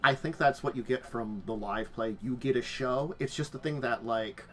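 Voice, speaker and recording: A man's voice: medium pitch, normal speed, sharp tone. A man hosting a casual tabletop gaming talk show, chatty and self-deprecating, laughing often. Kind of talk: casual chat.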